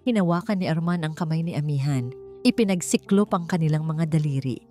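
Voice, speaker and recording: A woman reading a scripted romance story as a narrator, in a measured, melodramatic way. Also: low-pitched, warm tone. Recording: music behind the voice. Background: background music.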